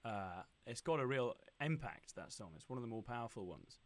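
A man's voice: medium pitch, very animated, fairly quick. A man hosting a radio interview, friendly and encouraging toward his guest.

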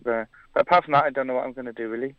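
A man from Wales talks about his music in a relaxed, halting way, full of fillers and restarts. His voice is smooth and resonant, though it comes through a narrow, tinny phone-like line. The sound is dry, with no room echo. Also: mid-range voice. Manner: hesitant, many fillers and restarts. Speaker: musician guest. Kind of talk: casual interview chat.

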